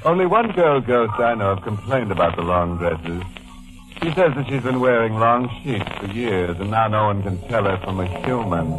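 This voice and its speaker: Man playing a low, ghoulish radio horror host. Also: animated, normal speed, close to an everyday voice, poor audio. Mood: ghoulish glee. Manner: macabre, mock-friendly, savoring his words. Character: ghoulishly gleeful, tongue-in-cheek male horror host. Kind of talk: 1940s radio horror introduction. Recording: old 1940s radio recording, music behind. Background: music.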